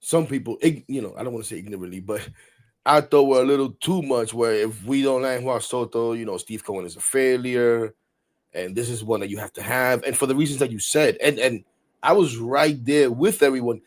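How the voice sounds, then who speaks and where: A man in his forties hosting a casual sports talk show, animated and rambling, repeating words and laughing often. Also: medium pitch, a little fast. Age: 40s. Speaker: podcast host.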